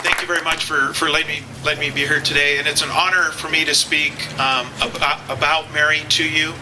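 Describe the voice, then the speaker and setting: Halting middle-aged man at a rally. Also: fairly high pitch, level tone, a loud crowd. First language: Spanish. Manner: halting, many fillers and repeated words. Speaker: law enforcement officer speaking at a rally. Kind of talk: public speech at a political rally. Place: outdoor campaign rally. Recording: outdoor rally with clear background noise.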